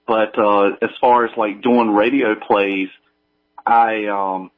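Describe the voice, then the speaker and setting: A man with a lowish voice answers interview questions casually. He is modest and halting, and his remote line sounds dull, with the volume drifting up and down. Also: steady tone. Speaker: guest, audio drama creator.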